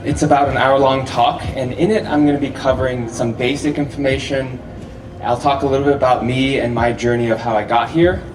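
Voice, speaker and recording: A man's voice: medium pitch, a little fast. Chatty man speaking to a live crowd. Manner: relaxed, chatty, laughs often, asks the audience questions. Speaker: festival speaker. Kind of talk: live public talk to an audience. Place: festival venue with a live audience. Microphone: handheld or stand microphone, often held far off. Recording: roomy echo, distant mic, audible hall noise.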